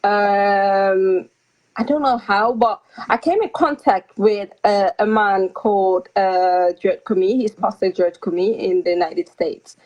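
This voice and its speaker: A young woman from the Netherlands talks over a video call, her voice mid-pitched and a little muffled by lo-fi call audio. She speaks softly and hesitantly, with frequent ums and small pauses, in a relaxed interview. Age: young adult. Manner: soft-spoken, hesitant with frequent ums and light laughs. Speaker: guest author. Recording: slightly dull lo-fi call audio.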